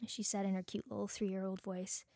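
A woman with a Bajan accent giving an earnest, deliberate devotional teaching talk as a host, mixing prepared points with personal reflection. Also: mid-range voice, flat delivery, creaky, mellow, dry recording, clean studio sound. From Barbados.

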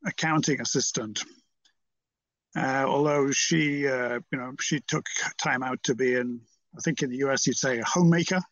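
A man's voice: mid-range voice, moderate pace, fairly bright tone, no echo, highs cut off. A British man in his seventies from Merseyside, talking reflectively as an interview guest in a hesitant, rambling, understated way. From Merseyside, England. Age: 70s.

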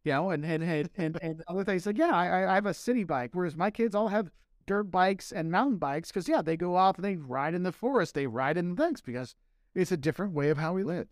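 A man's voice: high voice, a little fast, dry recording, clean studio sound. An American man, a marketing professor and travel vlogger, telling stories in a casual podcast chat and acting out the voices he quotes. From United States. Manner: animated storyteller, acts out quoted voices.

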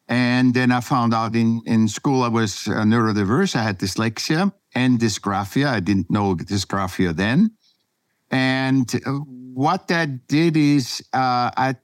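A man from Austria with an Austrian accent, telling his story off the cuff as a podcast guest, halting and repeating words for emphasis. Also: medium pitch, no room sound. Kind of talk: spontaneous personal storytelling.

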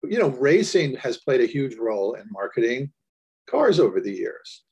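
A man co-hosting a podcast, musing and joking in relaxed conversation. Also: mid-range voice, moderate pace, breathy edge, low-quality recording. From United States. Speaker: podcast co-host. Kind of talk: casual podcast discussion.

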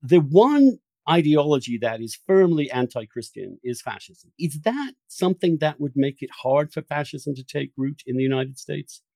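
A man hosting a podcast, talking through opinionated political points in a halting, emphatic way, restarting phrases as he goes. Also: a little high, lively intonation, vocal fry, warm tone, no room sound. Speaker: podcast host.

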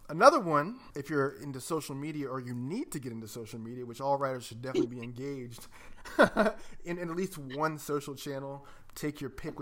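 A man's voice: mid-range voice, bright, forceful tone. A man co-hosting a podcast in casual conversation, explaining things enthusiastically and addressing listeners directly. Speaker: podcast host.

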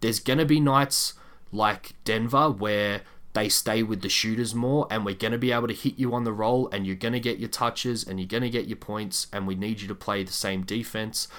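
A man co-hosting a sports podcast, giving measured, candid analysis in a casual discussion. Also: on the low side, fairly bright tone.

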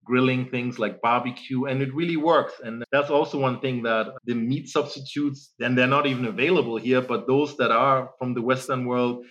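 A man's voice: medium pitch, normal speed, hardly any pauses. Guy, 40s, laid-back rambly interview talk, dull muffled sound. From Germany. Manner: relaxed, rambling, many "you know" fillers. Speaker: founder, guest. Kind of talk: casual interview chat.

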